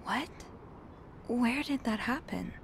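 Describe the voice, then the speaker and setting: A young woman playing an earnest, nervous hotel maid in a video game, speaking haltingly. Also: fairly high pitch, animated, gravelly, slight background noise, full character voice. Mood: earnest, gentle. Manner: hesitant, halting. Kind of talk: naturalistic video game acting.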